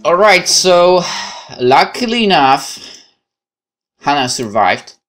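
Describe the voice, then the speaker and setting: A man reading a horror visual novel aloud as a gaming host, with dramatic, expressive delivery and exclamations. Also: fairly high pitch, takes it slowly. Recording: music behind the voice.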